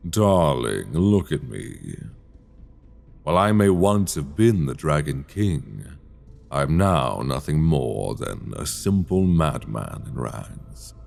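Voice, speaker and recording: A man's voice: extremely low pitch, creaky, full character voice. A man playing an imprisoned mad dragon king, seductive, obsessive and menacing, in an intimate, teasing, theatrical ASMR roleplay delivered close to the mic. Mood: teasing, self-mocking. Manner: theatrical, teasing, drawn-out delivery with soft asides. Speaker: audio roleplay voice actor. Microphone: close binaural microphone.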